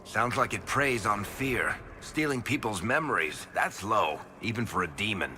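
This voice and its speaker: A man's voice: lowish voice, animated, moderate pace, background noise, close to an everyday voice. An actor playing a grim, wry male companion in a fantasy video game.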